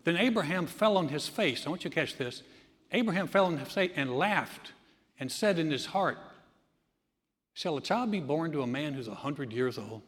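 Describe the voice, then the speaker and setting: A man's voice: on the high side, lively intonation, moderate pace. Preaching man in a reverberant hall. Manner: builds from conversational teaching to loud, emphatic preaching. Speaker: pastor. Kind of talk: sermon.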